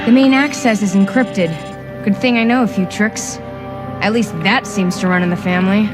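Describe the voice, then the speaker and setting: A woman's voice: mid-range voice, steady tone, moderate pace, close to an everyday voice. An actress playing a plucky, wry female scientist in a video game, chatty and spirited. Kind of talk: video game cutscene acting. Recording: music behind the voice.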